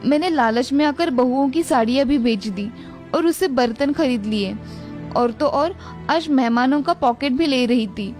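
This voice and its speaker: A woman's voice: high voice, moderate pace, a little mellow, faint music behind, lightly acted. A woman voicing a greedy old mother-in-law in a cartoon family story. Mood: remorseful.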